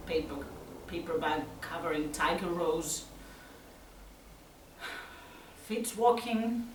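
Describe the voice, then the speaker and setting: A woman acting a tense, troubled youth haunted by violent parents in a halting, intense stage monologue. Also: mid-range voice, lightly acted. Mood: anguished, agitated. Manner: slow, halting, intense, from hushed to loud. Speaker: actress performing a solo monologue. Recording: roomy, distant mic, faint noise.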